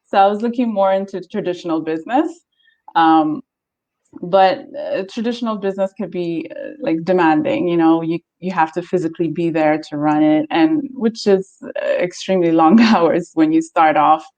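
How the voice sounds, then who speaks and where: A woman's voice: on the low side, normal speed, a little mellow, muffled recording. A woman in her thirties from Ethiopia, telling her personal story casually as an interview guest, chatty with light laughter in her talk.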